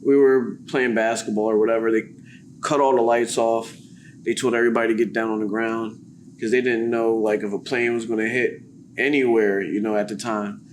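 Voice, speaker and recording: A man in his thirties hosting a casual podcast chat, easygoing and quick to laugh as he tells stories. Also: medium pitch, level tone, a little hiss or hum. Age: 30s. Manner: easygoing, laughs often, storytelling. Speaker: podcast host, former boxer.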